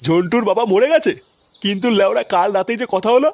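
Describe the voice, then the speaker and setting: A man's voice: high-pitched, quick pace, a little mellow, slight background noise. A man voicing a crude, bossy older village man in a comedy cartoon, swearing emphatically. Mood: stern, scolding. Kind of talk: foul-mouthed comedy cartoon dialogue.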